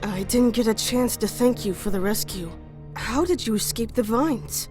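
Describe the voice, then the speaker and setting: A woman's voice: a little high, moderate pace, lightly acted. A woman voicing an earnest, articulate heroine in a motion comic, with a dramatic manner. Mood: grateful. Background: music.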